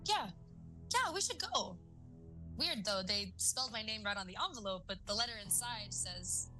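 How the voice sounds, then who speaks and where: A woman's voice: mid-range voice, animated, moderate pace, over music, natural voice. A woman playing a casual, curious, slightly puzzled teenage girl in an audio drama. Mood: agreeable, puzzled. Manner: casual, questioning.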